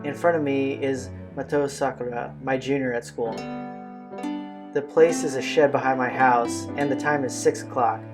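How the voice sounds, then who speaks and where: A man's voice: mid-range voice, steady tone, moderate pace. A man reading visual novel narration aloud as a let's play host, occasionally stumbling over a phrase. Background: game music.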